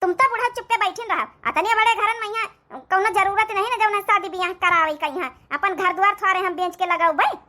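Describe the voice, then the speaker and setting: Lady doing a bossy old awadhi saas for a cartoon, super high sharp voice, scornful n scolding. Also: a little fast, hardly any pauses. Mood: scornful, indignant. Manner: haughty, scolding, rustic. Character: scheming, domineering old village mother-in-law, scornful and bossy. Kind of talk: Hindi moral-story cartoon comedy acting.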